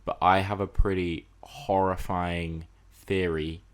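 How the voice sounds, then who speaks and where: A man co-hosting a casual film and TV podcast, building up his points deliberately with rhetorical questions. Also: sits low, level tone. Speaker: podcast co-host.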